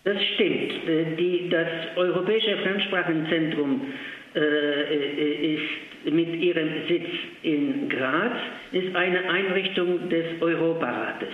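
Man on an echoey phone line. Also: on the high side. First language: Polish. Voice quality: halting, many filled pauses. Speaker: interview guest, language centre official. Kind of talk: phone interview. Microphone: telephone.

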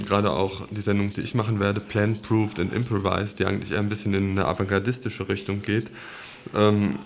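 Man, radio music host, low pitch, flat intonation, halting explanations, unscripted discussion. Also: slight background noise.

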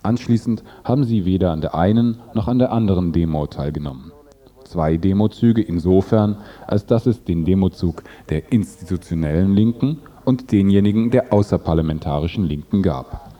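A man's voice: sits low, moderate pace, dark tone, muffled recording. A man reading scripted news and translated interview statements as a radio presenter.

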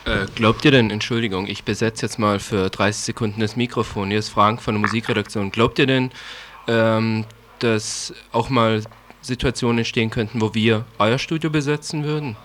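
A man speaking as a radio music editor in a spontaneous debate, arguing defensively and haltingly. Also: lowish voice, steady tone, fairly bright tone, slight background noise.

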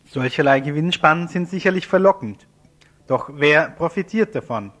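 A man's fairly high voice presents a radio news report. He narrates from a script as the reporter and interviewer, in an explanatory news style. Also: lively intonation, moderate pace, a bit bright.

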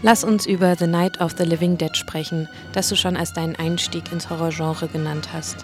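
A woman's voice: deep voice, steady tone, normal speed, over music. A woman presenting a radio interview feature in scripted narration.

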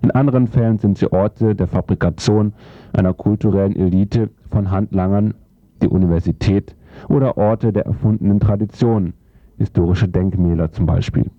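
Male narrator, low voice, formal declarative reading of scripted essay prose, lo-fi recording. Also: steady tone, moderate pace, no echo. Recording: dull lo-fi sound.

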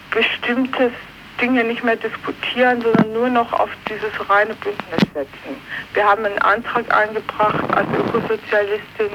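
A woman politician giving spontaneous answers in a radio interview, phrasing her points in a halting, deliberate way. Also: fairly high pitch, a bit bright, muffled recording, slight background noise. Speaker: politician, member of parliament being interviewed.

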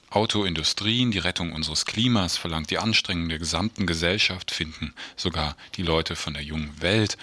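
A man hosting a radio news magazine, presenting from notes and sometimes halting as he goes. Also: low-pitched, sharp tone, no room sound. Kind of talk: radio presenting, semi-scripted.

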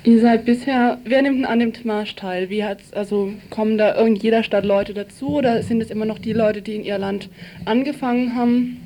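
A woman's voice: on the high side, steady tone, brisk, warm tone, slight background noise. A woman working as a radio reporter, narrating from a script and putting questions to interviewees.

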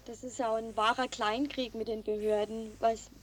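A woman conducts an informal radio interview in a conversational style. Her voice sits a little high and moves very little in pitch. There is faint noise in the recording. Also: level tone, moderate pace.

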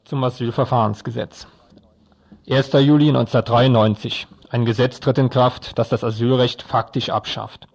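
A man's voice: mid-range voice, steady tone, moderate pace, creaky, a little mellow. A man reading scripted radio news as a presenter.

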